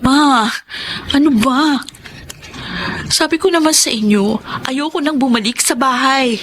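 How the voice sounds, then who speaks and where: A high-voiced, headstrong Filipina teenager. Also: female voice, a little slow, fairly bright tone, a little hiss or hum. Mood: defiant, pleading. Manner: stubborn, emotional. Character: headstrong, wounded teenage daughter. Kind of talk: Tagalog radio drama acting.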